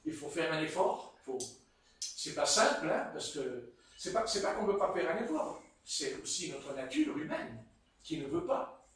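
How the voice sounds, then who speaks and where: A man with a Marseille French accent preaching a sermon in a church hall, telling stories in a playful, animated way. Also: on the high side, measured pace. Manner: animated, playful storytelling with chuckles. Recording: echoey hall, mic far off.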